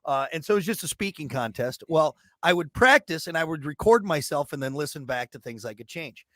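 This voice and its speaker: A young man with a gravelly, scratchy voice, joking his way through casual morning radio banter as host. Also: fairly high pitch, no room sound. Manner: joking, animated, quick to laugh. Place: radio studio.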